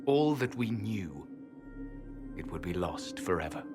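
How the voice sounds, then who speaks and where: An actor playing a solemn, proud ancient elven guardian in a fantasy game, speaking gravely and formally with contempt. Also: male voice, low voice, very animated, a little slow, loud music behind the voice. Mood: mournful. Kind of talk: dramatic fantasy game acting.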